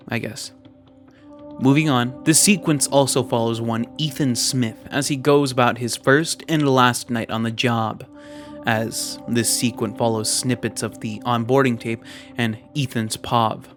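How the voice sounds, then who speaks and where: A man with a mid-pitched voice narrates scripted commentary over faint music. He is measured and wry, drawing out the odd word for emphasis like a video essay host. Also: fairly bright tone. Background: background music.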